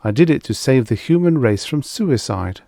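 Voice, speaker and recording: A man's voice: mid-range voice, animated, normal speed, gravelly, dark tone, no echo, clean studio sound, pitched above the performer's own voice. A man voicing a desperate, insistent married woman in an audiobook story, pleading and urgent. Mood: defiant. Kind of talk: audiobook character voice.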